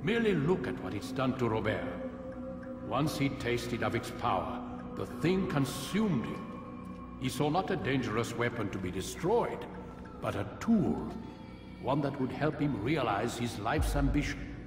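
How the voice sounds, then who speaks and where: A man playing a grave, wise older master of an assassin order in a video game, measured and instructive. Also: medium pitch, sing-song. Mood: philosophical. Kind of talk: dramatic video game cutscene acting. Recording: very echoey stone hall, distant, music. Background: music.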